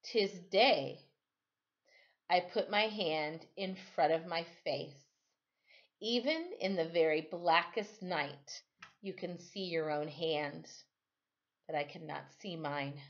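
A woman's voice: deep voice, takes it slowly, muffled recording. A middle-aged woman reading a children's novel aloud expressively, like a teacher at storytime. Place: small room at home, indoors.

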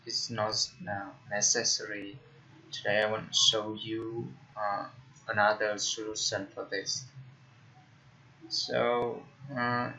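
A young man with a Vietnamese accent, a tech tutorial host talking casually through a screen recording, halting with frequent fillers and restarts. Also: fairly low pitch, sharp tone. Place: indoor room at a computer. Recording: dull lo-fi computer mic with faint noise.

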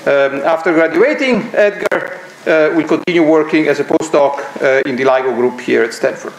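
A man introduces the next speaker at a ceremony, reading hesitantly with filler pauses. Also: a little high. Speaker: faculty host introducing the speaker. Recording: roomy lecture hall echo.

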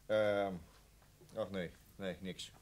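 Hesitant detective, low voice, noisy recording. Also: male voice, steady tone, darkish tone. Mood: hesitant, reassuring. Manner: hesitant, halting. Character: hesitant, reassuring male police detective. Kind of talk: Dutch radio play acting.

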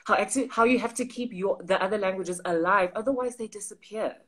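Animated South African woman on a video call. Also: medium pitch, a little fast. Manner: animated, gesturing, voices quoted people. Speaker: co-host. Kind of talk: casual storytelling on a livestream. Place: home study with bookshelves. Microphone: video call on phone or laptop.

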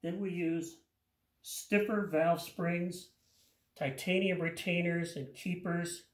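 Older male home mechanic, slightly high voice, plainspoken hands-on tutorial, roomy garage sound. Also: steady tone, slow. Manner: plainspoken, practical, repeats words while working. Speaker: home mechanic explaining an engine rebuild. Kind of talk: hands-on how-to explanation. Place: home garage workshop, indoors. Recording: echoey garage.

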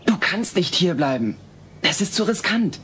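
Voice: medium pitch, sing-song, normal speed, close to an everyday voice. An actor playing a puzzled, sensible teenage boy in a dubbed sitcom audio play, questioning and reasoning. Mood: worried, insistent. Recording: slightly noisy old cassette dub.

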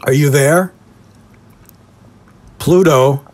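A man's voice: a little high, drawn-out words, darkish tone. A man hosting a mock podcast interview in a conversational way, polite and a little hesitant.

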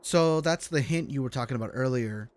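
A man's voice: medium pitch, a little fast, slight background noise. A man streaming a game, commenting casually in a laid-back, joking way and reading dialogue aloud. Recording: game music clearly behind. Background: video game music.

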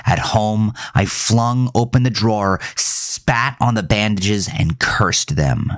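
A man narrating a first-person horror story for a podcast, with dramatic pauses and whispery emphasis. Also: lowish voice, dry recording, studio quality.

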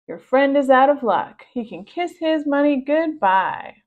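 A woman's voice: sits high, lively intonation, unhurried, narrow, tinny audio, voice pushed up. A woman voicing a slick, pushy pug who sells cars, with gushing, emphatic patter in a storybook reading. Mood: dismissive. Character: pushy slick pug car salesman, shameless crook.

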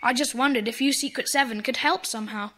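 A woman's voice: a little high, fast talker, bright, forceful tone. A woman playing an earnest, kind-hearted schoolboy in a children's audio drama. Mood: worried. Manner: earnest, explanatory.

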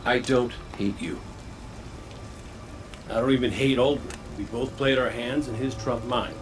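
An actor playing a world-weary, reflective gunslinger in a video game, folksy and heartfelt. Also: male voice, mid-range voice, fairly even intonation, measured pace, noisy background, natural voice. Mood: rueful, grieving. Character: world-weary male robot gunslinger, reflective, forgiving veteran. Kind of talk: scripted game dialogue. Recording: music behind.

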